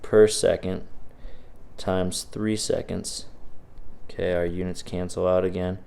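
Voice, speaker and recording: A man's voice: low voice, level tone, takes it slowly, vocal fry, warm tone, a little hiss or hum. A man, sick and a bit sniffly, teaching in a casual, encouraging way as he walks through practice problems in a video lecture. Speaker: physics teacher.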